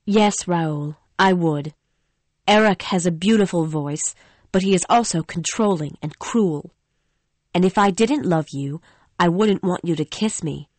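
A woman's voice: fairly low pitch, measured pace, no echo. A woman voicing a frightened young female opera singer in an audiobook, with earnest, pleading delivery. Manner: earnest, pleading dialogue reading. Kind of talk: audiobook dialogue acting.

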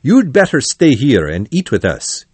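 A man's voice: mid-range voice, huge pitch swings, measured pace, a little mellow, husky, no room sound, full character voice. A man voicing a kind, hospitable badger in a storybook, reassuring in manner. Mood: kindly. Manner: kindly, reassuring. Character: kind, hospitable male badger, calm host.

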